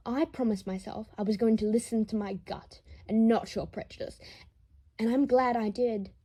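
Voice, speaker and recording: A woman's voice: on the high side, warm tone, husky. A woman voicing a wounded, defiant, fiercely protective grown son in an audio story, impassioned and confrontational. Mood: bitter, defiant.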